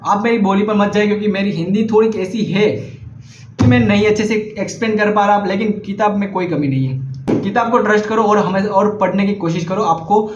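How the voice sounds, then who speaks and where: A man's voice: high voice, fairly quick, noisy background. A young man from Gujarat with a Gujarati accent, whose first language is Gujarati, casually recommending books in a vlog, hesitant and earnest, often restarting his sentences. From Gujarat, India. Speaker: vlogger. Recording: echoey, slightly muffled room recording.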